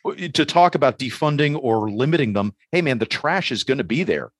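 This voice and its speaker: A man's voice: mid-range voice, animated, fairly quick, breathy edge, no room sound, clean studio sound. A middle-aged man hosting a podcast, talking casually in long, rambling, philosophical asides. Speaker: podcast host.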